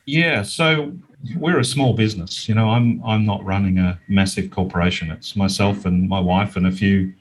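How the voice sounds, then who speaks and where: Middle aged aussie bloke, low husky voice, chatting earnestly in an interview. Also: male voice, moderate pace, rough recording. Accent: Australian. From Australia. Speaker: interview guest, small business owner. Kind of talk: conversational interview answers.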